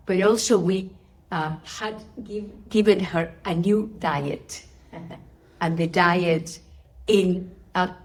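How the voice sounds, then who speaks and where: Female interviewee, middle-aged or older, Spanish accent, slightly low register; an emphatic spiritual teacher sharing her experiences. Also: a little mellow. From Spain. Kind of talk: interview answers with personal storytelling.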